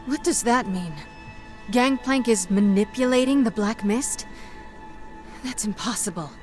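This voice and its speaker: A woman's voice: a little high, unhurried, soft background music, lightly acted. A woman playing a blunt, sardonic adventurer in a video game, with a sarcastic delivery. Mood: disbelieving. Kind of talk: video game character acting.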